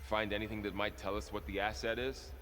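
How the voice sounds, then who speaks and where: An actor playing a low-key, curious male companion to an explorer in a video game, with a wry touch. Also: level tone, a little fast, long reverb tail, over music, lightly acted.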